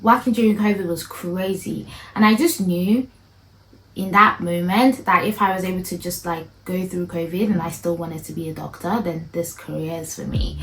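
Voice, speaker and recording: A woman in her twenties from Nigeria, with a Nigerian accent softened by British influence, chatting casually to camera as a medical student vlogger in quick, playful bursts. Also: fairly low pitch, poor audio. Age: 20s. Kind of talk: casual direct-to-camera chat.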